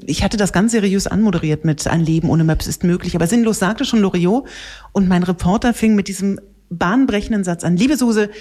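Female radio presenter, medium pitch, animated storyteller, casual live talk. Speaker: radio host.

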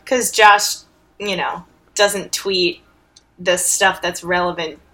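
A woman's voice: fairly low pitch, fairly even intonation, takes it slowly, fairly bright tone. A woman co-hosting a comedy podcast in casual chat. She is self-deprecating and often trails off mid-sentence. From New York. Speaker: podcast co-host.

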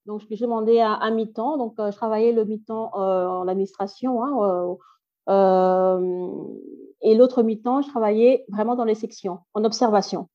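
A woman in her late thirties, a podcast guest answering in a halting way with many fillers. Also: medium pitch, fairly even intonation, muffled recording. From Belgium. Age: 30s. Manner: halting, frequent fillers and self-corrections. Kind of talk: interview answers.

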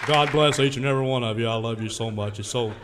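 A man's voice: medium pitch, fast talker, over a noisy crowd, heavy background noise, natural voice. An actor playing a boastful, bumbling male country singer in a live radio comedy sketch, rambling and stammering in a folksy way. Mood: gushing. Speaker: comic guest singer.

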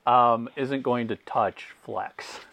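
A man's voice: mid-range voice, steady tone, extremely slow, gravelly, fairly bright tone, no echo, poor audio. A man hosting a podcast, eagerly chiming in with stumbling, repeated starts to his sentences. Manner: enthusiastic, repeats words when starting sentences. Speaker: podcast host. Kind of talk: casual podcast interview.

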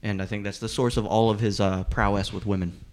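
A man's voice: on the low side, flat delivery, fairly quick. A man hosting a podcast in casual, joking, profane comedic chat. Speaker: podcast host.

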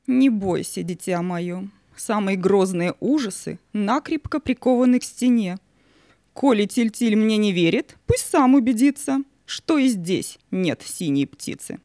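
A woman's voice: medium pitch, lively intonation, measured pace, mellow, no echo, clean studio sound. A woman as a theatrical, swooping Night spirit. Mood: reassuring, sly. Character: Night, stately female spirit guarding her secrets, motherly yet cunning. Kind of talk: audio play acting.

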